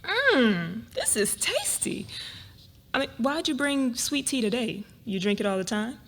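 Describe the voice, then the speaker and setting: A woman's voice: fairly high pitch, very animated, measured pace, fairly bright tone, full character voice. A woman playing a wary, curious, outspoken young college student in a dramatic reading of a novel. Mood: delighted. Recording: noisy live event recording.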